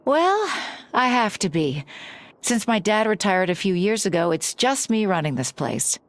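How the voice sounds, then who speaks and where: A woman's voice: medium pitch, big pitch swings, unhurried, no echo. A woman playing a hard-working, matter-of-fact shopkeeper who is resigned to running the place alone, in a video game.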